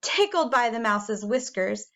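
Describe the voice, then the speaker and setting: High-voiced woman reading a children's story. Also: very animated, unhurried, fairly bright tone. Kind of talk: read-aloud storybook narration.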